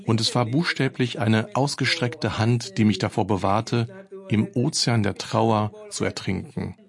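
A man's voice: on the low side, fairly even intonation, a little slow, vocal fry. Man reading a dubbed interview voice-over. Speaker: voice-over translator.

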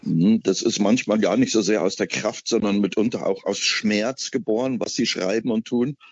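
A middle-aged man from East Germany, a festival curator, answering interview questions in a halting way with many filler sounds. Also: lowish voice, breathy edge, no room sound. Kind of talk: radio interview guest. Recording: dull lo-fi broadcast audio.

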